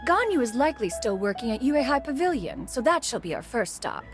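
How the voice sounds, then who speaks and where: A woman's voice: a little high, very animated, fairly quick. A woman playing a proud immortal bird spirit in human form in a video game, speaking in a stately, formal, imperious manner. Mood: composed. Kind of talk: animated game voice acting. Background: music.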